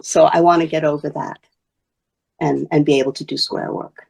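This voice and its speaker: A woman's voice: deep voice, fairly even intonation, moderate pace, vocal fry, darkish tone, husky. A woman from Wales, a basketry artist and teacher, chatting in an interview while she shows and explains her work. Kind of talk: casual interview talk.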